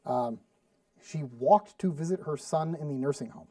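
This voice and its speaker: A man's voice: a little high, normal speed, dark tone, no room sound, clean studio sound, recorded from a distance. A man from Sweden with no accent, chatting casually and rambling with fillers while demonstrating a recipe as a cooking show host. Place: studio kitchen.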